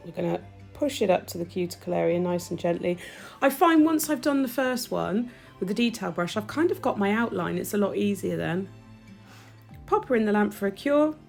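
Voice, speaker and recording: A woman with a stuffy, nasal voice, chatting warmly through a nail tutorial as she works. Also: mid-range voice, vocal fry. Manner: chatty, gushing, talks while working. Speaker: nail technician demonstrating gel polish. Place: home nail studio.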